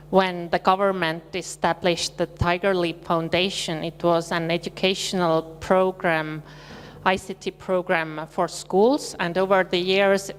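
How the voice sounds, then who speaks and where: Woman, Estonian accent, conference presenter, unhurried, slightly hesitant, lingering hall reverb. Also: lowish voice, clear, resonant tone. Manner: measured, slightly hesitant with fillers. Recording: reverberant hall with faint hum.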